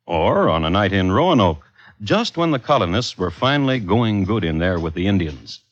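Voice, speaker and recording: A man's voice: sits low, big pitch swings, moderate pace. A man narrating an old-time radio drama as a wry storyteller with dry humour. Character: wry male storyteller of a crime tale. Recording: dull vintage radio recording.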